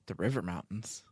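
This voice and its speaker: A man's voice: low voice, darkish tone, slightly rough, no echo. Bantering male podcast host, close dry sound. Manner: playfully sarcastic, feigning confusion and grievance. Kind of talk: casual comedic banter.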